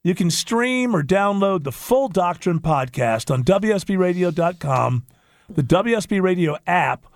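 A man's voice: high voice, animated, unhurried, no echo, clean studio sound. Joking radio host man. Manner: joking and opinionated. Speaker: radio talk show host. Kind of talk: casual radio talk.

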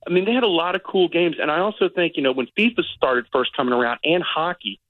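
A man's voice: fairly high pitch, fast talker, phone-quality sound, slight background noise. A man giving a chatty, opinionated movie review as a guest critic on a casual radio show.

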